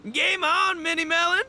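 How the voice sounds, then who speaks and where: An actor playing a boastful, exuberant young male gang leader in an anime game, with a cocky, loud, slangy delivery. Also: extremely high pitch, exaggerated voice. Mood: cocky challenge.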